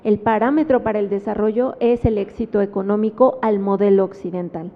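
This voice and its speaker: A woman with a Mexican Spanish accent gives an academic talk at a book presentation, pausing often and adding tag questions. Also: medium pitch, fairly even intonation. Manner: thoughtful, with frequent fillers and tag questions. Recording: phone-like sound with long hall reverb.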